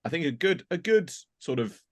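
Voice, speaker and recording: A man's voice: on the high side, big pitch swings, a little fast, no room sound, studio quality. A man chatting casually in a group as an audio drama creator, rambling and acting out little quoted asides as he tells stories. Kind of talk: casual group chat, behind-the-scenes reminiscing.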